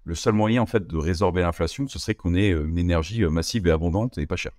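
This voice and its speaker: A young man from France with a very low voice talks fast in a casual interview, stumbling through fillers and restarts. The sound is close and dry, with no room around it. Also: darkish tone, dry recording. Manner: hesitant, many fillers and restarts. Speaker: interview guest, entrepreneur.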